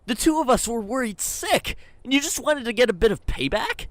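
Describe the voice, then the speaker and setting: Man doing a young cocky companion, earnest, lively pitch, dry sound. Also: a little fast, no echo. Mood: concerned. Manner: earnest, steady, speaks his mind.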